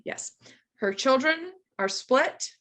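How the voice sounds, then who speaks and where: A young woman co-hosting a casual reaction chat, chatty and animated, laughing often. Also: high-pitched, slow. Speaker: co-host.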